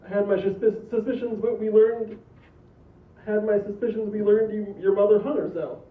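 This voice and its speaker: Male actor as suspicious agent, high-pitched, stumbling. Tinny distant sound. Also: steady tone, normal speed, lightly acted. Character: suspicious male intelligence agent, adopted son. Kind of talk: comedy table read. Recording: echoey, distant, tinny phone-like recording.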